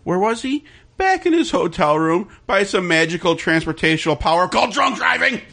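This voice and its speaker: A man chatting loudly on a casual podcast among friends, excitable and full of mock outrage. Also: sits high, moderate pace, gravelly. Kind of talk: casual drunk podcast chat.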